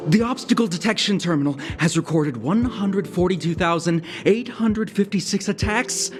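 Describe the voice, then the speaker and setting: A sentient machine in a video game, gloomy and poetic. The delivery is slow and highly theatrical, full of melodramatic despair. Loud music runs behind it. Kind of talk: video game cutscene voice acting. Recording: loud music bed underneath.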